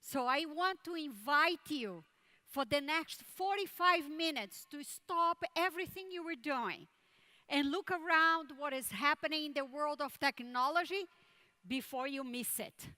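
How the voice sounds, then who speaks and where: A woman with a Brazilian Portuguese accent delivers a keynote address in a high, bright voice. She speaks slowly and deliberately. Her phrasing is measured, with dramatic pauses. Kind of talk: conference keynote speech.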